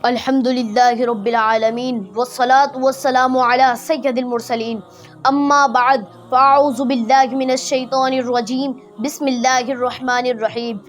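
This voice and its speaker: A woman's voice: sits high, flat delivery, moderate pace, faint music behind. A woman speaking as a religious preacher in a formal sermon style with recitation.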